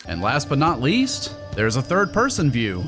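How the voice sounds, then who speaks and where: A man's voice: mid-range voice, wildly swooping pitch, normal speed. Wry man narrating a game review. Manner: wry, sarcastic, casual. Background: background music.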